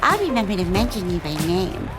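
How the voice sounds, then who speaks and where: A woman's voice: mid-range voice, big pitch swings, fairly quick, over loud music, lightly acted. A woman playing a bloodthirsty fighter who taunts with playful menace, and narrates. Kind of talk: audio drama acting and narration.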